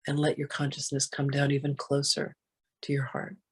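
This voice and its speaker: A meditation guide with a soft, breathy voice, speaking gently and soothingly with long, unhurried pauses. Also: mid-range voice, monotone.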